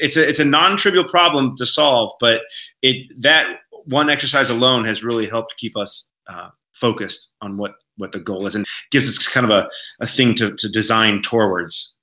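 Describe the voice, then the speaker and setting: A man, a game developer, chatting in a podcast interview in an animated, joking way. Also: mid-range voice. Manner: animated, joking, quick to laugh.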